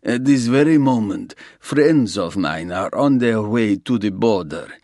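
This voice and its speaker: A man voicing a smug, menacing Russian gangster who talks slowly and mocks his rival. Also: on the low side, lively intonation, darkish tone, no room sound, clean studio sound, pitched above the performer's own voice. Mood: gloating. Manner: slow, gloating, mocking. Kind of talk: audiobook character reading.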